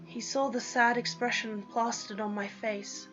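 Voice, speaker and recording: A woman's voice: a little high, flat delivery, unhurried, a bit bright. A young woman giving a dramatic first-person reading of a story, on a lo-fi recording with music behind. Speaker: reader of own story.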